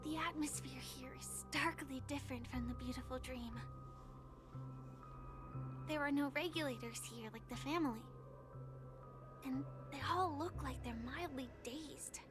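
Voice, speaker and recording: An actress playing an enigmatic, playful, self-assured young woman in a video game, in a soft breathy voice with noise behind it. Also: sits high, a little slow, pauses often, over music. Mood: calm, intrigued.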